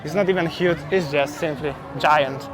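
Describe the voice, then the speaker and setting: A man's voice: fairly high pitch, normal speed. Chatty Polish man touring a street market. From Poland. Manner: chatty, repeats words, simple non-native phrasing. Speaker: travel vlogger. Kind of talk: walking market tour vlog. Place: busy outdoor street market.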